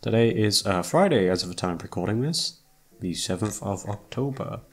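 A man's voice: fairly low pitch, expressive, normal speed, slightly rough. A young man chatting casually to his listeners in a rambling, gushing, self-deprecating way with plenty of swearing. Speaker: audio roleplay creator. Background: faint music.

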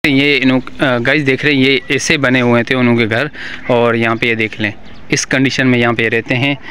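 A man's voice: mid-range voice, steady tone, moderate pace, sharp tone, slight background noise. Young male vlogger, phone camera, village walkabout, keeps repeating himself. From Pakistan. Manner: repetitive, pleading, keeps calling out "guys" and "friends". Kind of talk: walking tour vlog with interviews. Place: outdoors in a village among huts. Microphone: handheld phone camera.

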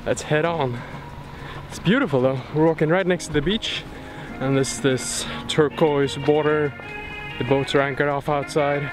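A young man narrating his sailing trip and walking a forest trail with a camera at arm's length, relaxed and easygoing. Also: on the high side, a little slow, music in the background, poor audio. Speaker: sailing vlogger. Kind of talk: casual travel narration. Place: outdoors on a tropical island, forest trail. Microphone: handheld action camera at arm's length.

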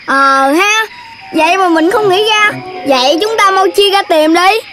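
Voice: very high voice, measured pace, distant mic, heavy background noise. A performer voicing a chubby, simple, loyal little pig child in a children's cartoon dub. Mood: puzzled.

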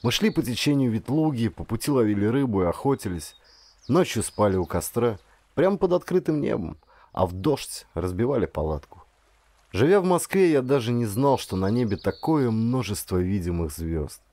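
A man reading a first-person story as an audiobook narrator, in a dramatic, expressive way with varied intonation. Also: medium pitch, moderate pace, dry recording.

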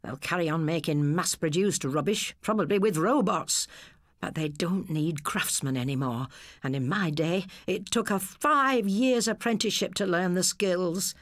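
An English woman voicing a weary, wounded middle-aged working man in a storybook, slow and plain-spoken. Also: on the low side, no echo, clean studio sound, lightly acted. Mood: dejected.

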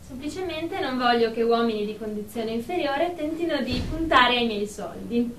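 A woman's voice: fairly high pitch, lively intonation, normal speed, fairly bright tone. An actress playing a haughty, self-important career woman in a radio drama therapy session. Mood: smug. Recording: echoey room, distant mic, faint noise.

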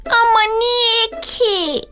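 Small naive cartoon kid: extremely high, slow drawn-out words, excitable, music bed. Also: female voice, heavily acted. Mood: disappointed. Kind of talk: children's cartoon voice acting. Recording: loud music bed under the voice.

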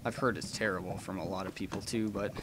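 A man's voice: low voice, fairly even intonation, quick pace, background noise. A young man casually chatting and rambling through a let's play, with frequent ums and small laughs. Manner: rambling and chatty, many ums, gasps and laughs while playing. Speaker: gaming channel host.

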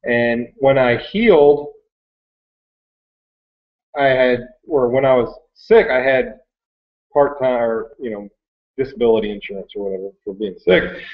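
A man in his thirties with an American accent, telling his story in a rambling, casual, conversational way. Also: mid-range voice. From Michigan, United States. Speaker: online reseller sharing his story. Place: small cluttered workroom indoors. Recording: thin phone-like webcam audio.

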